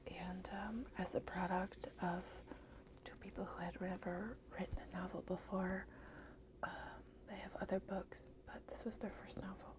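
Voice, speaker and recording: A woman whispering a soft ASMR book review, slightly hoarse and hesitant, with many fillers. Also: medium pitch, little pitch movement, normal speed, rough recording.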